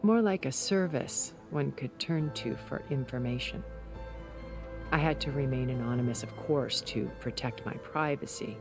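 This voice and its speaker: An actress playing a composed, secretive, cultured woman in a video game, speaking in a measured, articulate, deliberate way. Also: very deep voice, over music. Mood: measured, confiding. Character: composed, secretive, cultured older woman, former intelligence broker. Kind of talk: video game cutscene dramatic acting.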